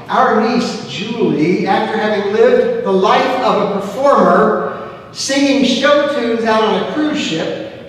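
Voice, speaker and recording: A man in his sixties delivers a storytelling sermon with a fairly high voice. He gestures as he talks and drops to a hush on the lines that matter. The sound is heard from far off in a reverberant sanctuary with a little room noise. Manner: storytelling, gesturing, dropping to a hush on key phrases. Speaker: pastor. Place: church sanctuary, at the pulpit. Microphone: far camera, room mic. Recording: echoey church hall from far off.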